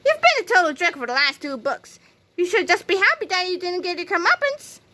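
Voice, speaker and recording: A woman's voice: very high voice, sing-song, brisk, pushed and bright, voice pushed up, exaggerated voice. A woman voicing a bossy, sly, confident schoolboy in a storybook reading. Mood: scornful. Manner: brash, teasing.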